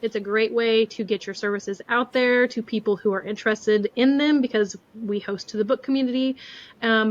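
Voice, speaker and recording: A bubbly woman hosting a podcast in casual chat, laughing a lot. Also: on the high side. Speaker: podcast host. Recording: dull lo-fi sound.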